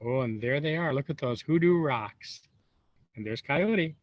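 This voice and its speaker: A man reading a storybook aloud to young children, expressive and unhurried, pausing for wondering asides. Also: mid-range voice. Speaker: volunteer storybook reader. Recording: roomy echo, dull lo-fi sound.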